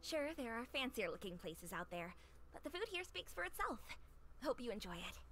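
Friendly eatery owner, fairly high voice. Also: female voice, sing-song, normal speed, a bit bright, soft background music. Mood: welcoming. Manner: easygoing, neighborly, confiding. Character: friendly, chatty woman running a humble eatery. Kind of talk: video game voice acting.

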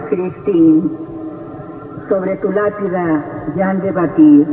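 An actor playing Death, a solemn, imperious male reaper, in slow old horror radio drama style. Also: sits high, steady tone. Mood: solemn, commanding. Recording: cavernous muffled old radio with music.

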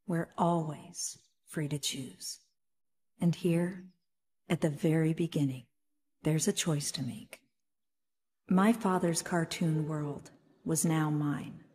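An earnest, resolute young heroine from a video game. She takes her words slowly and deliberately, with real feeling. Her voice rings out in long, hall-like reverb. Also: low voice, mellow.

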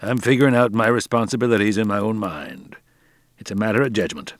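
A man doing a gruff old fishing captain. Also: on the low side, fairly quick, no echo, clean studio sound, lightly acted. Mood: stern. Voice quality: drawling, plain-spoken. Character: gruff older New England fishing captain, stern and slow.

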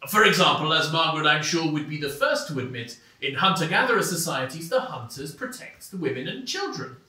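A smug male intellectual acted by a man in a stage play. His fairly high voice sounds bright and forceful. The sound is roomy and distant, from a theater stage. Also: very animated, a little fast, exaggerated voice. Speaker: debate guest. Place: small stone-walled theater stage.

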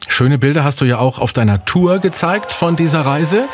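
A man's voice: on the high side, lively intonation, moderate pace, faint music behind. Middle-aged man, conversational radio host. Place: radio studio. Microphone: studio broadcast microphone.

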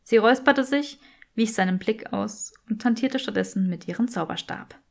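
A woman's voice: fairly high pitch, moderate pace, gravelly, darkish tone. A woman reading scripted fiction as an audiobook narrator. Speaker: audiobook reader.

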